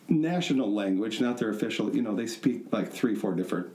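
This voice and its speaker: A man's voice: lowish voice, animated, normal speed, a little mellow. A man hosting a podcast in a casual, chatty way, with a joking, self-mocking manner. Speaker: podcast host. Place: echoey indoor studio room. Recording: echoey room sound.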